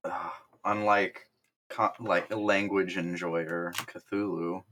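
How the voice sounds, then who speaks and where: Husky guy on a podcast, talks slow, goofy self-deprecating bits. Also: low voice, little pitch movement, a bit bright. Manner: self-mocking, absurd humor, laughs often. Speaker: podcast co-host. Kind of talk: casual comedic chat.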